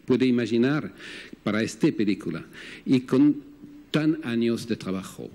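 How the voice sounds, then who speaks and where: A film producer with a French accent, a native French speaker, speaking halting Spanish at a press conference and searching for words. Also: male voice, medium pitch, expressive, mellow.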